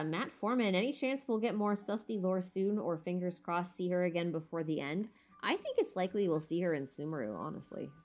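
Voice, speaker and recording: Low-pitched woman chatting casually on stream. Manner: informal, hesitant, thinking aloud with mild swearing. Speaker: livestream host answering viewer questions. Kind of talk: casual livestream Q&A chat about game lore.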